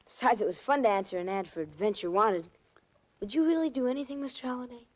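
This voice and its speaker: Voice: medium pitch, quick pace, close to an everyday voice. A performer playing an earnest, businesslike twelve-year-old newsboy in a 1940s radio drama, talking informally and a little hesitantly. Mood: earnest, secretive. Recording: dull 1940s radio recording, faint music.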